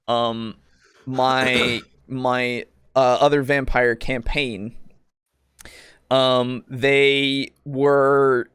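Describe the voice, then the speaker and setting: Guy cohosting a gaming podcast, mid pitch, dry close sound, rambly and stop-start, swears a lot. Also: level tone, very slow, a bit bright, clear, resonant tone, dry recording. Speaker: podcast co-host. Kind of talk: casual discussion of tabletop roleplaying.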